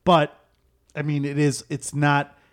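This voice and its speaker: A man's voice: a little high, monotone, slow. A man hosting a podcast, asking questions casually and teasing his guest with wry quips. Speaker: podcast host. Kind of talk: casual interview chat.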